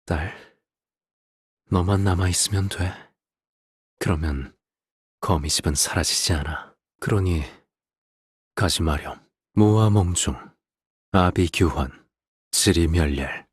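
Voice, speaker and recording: An actor playing a possessive, hollow-hearted father in a game, with a breathy, hushed voice and an eerily calm, measured delivery. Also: sits low, no room sound, clean studio sound, heavily acted. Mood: softly possessive, then quietly menacing. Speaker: game character voice. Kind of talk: dramatic game voice acting, slow monologue.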